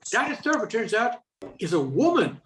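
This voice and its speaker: A man's voice: very high-pitched, sing-song, moderate pace, a bit bright, slight background noise, exaggerated voice. A man playing an awkward, flustered professor in a radio comedy play, hesitant and prone to stammering. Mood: earnest. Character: awkward, flustered, charmless male professor smitten with a colleague.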